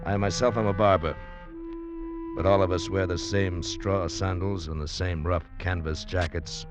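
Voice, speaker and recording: An actor in an old American radio drama playing a bitter, weary convict barber in a penal colony, brooding and halting. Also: male voice, low voice, fairly even intonation, music in the background, natural voice. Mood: grim narration. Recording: dull vintage radio recording.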